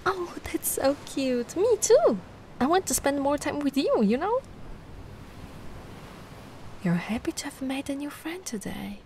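A woman's voice: sits high, darkish tone, a little hiss or hum, heavily acted. A woman from Italy playing a giant, affectionate, bubbly young mermaid in a cutesy, cooing ASMR roleplay. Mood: delighted, affectionate. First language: Italian. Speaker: roleplay performer.

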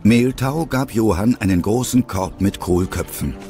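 A man's voice: lowish voice, unhurried, music in the background. A man narrating a children's audio drama as the storyteller of a dragon adventure.

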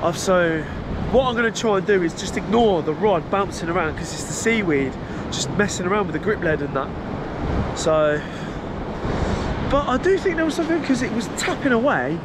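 Guy with a stuffy cold, fairly high voice, rambling on about his fishing, loud surf behind. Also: animated, normal speed. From England. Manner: chatty, rambling, self-correcting with small laughs. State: blocked nose from a cold. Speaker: fishing vlogger. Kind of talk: casual vlog narration. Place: open beach by the sea. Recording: loud surf noise outdoors. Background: loud waves and wind.

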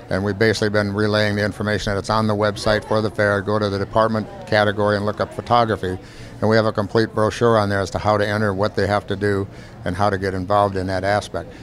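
Low, even-voiced older American man. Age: 60s. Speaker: photo exhibit organizer. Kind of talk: unscripted interview talk. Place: indoor exhibit hall at a county fair. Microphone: handheld microphone.

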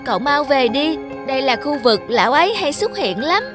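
Loyal young cartoon mug, earnest and careful child, high register over music. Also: female voice, big pitch swings, normal speed. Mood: cautious warning.

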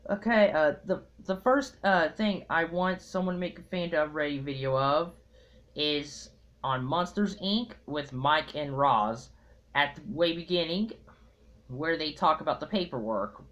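Young guy doing a casual vlog, slow and hesitant, kinda muffled lo-fi tablet sound. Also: on the high side, fairly bright tone. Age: young adult. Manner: halting, hesitant. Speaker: amateur fandub video maker. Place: small room at home. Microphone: tablet built-in mic at arm's length. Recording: dull lo-fi tablet mic.

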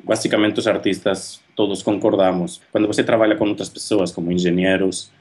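Spanish-accented male artist, 30s, low voice, thoughtful, halting webcam talk. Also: steady tone, darkish tone. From Spain. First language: Spanish or Catalan. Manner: halting, thoughtful, many fillers and restarts. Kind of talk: reflective monologue to camera. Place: indoor room at home. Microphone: webcam at close range.

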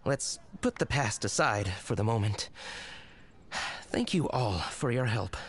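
An actor playing a hapless, apologetic, sentimental drunkard husband in a video game, hesitant and sighing. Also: male voice, fairly low pitch, lively intonation, measured pace, slight background noise. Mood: wistful. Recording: game dialogue with background music.

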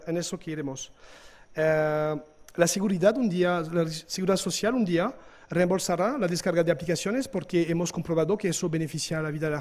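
A man, giving a conference talk in a hall with halting hesitations and restarts. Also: high voice, a little mellow. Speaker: conference speaker. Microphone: lectern microphone.

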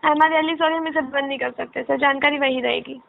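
Woman, helpline agent, high-pitched, formal, polite, scripted phrasing, tinny telephone sound. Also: fairly even intonation, fairly quick, gravelly. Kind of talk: scripted customer service talk. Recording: tinny narrowband phone line.

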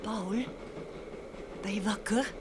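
An actress playing a detective's chatty, concerned wife in an old Dutch radio play. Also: female voice, steady tone, takes it slowly, close to an everyday voice. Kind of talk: mid-20th-century Dutch radio play acting. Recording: old radio recording, clear vehicle rumble noise.

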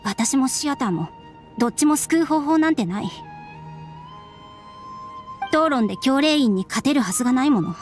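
Sad resigned young anime game girl, kinda high voice, measured and serious, music behind. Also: lively intonation. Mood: resigned, bitter. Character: subdued, resigned young woman, estranged daughter of strict scholar. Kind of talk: Japanese anime game voice acting.

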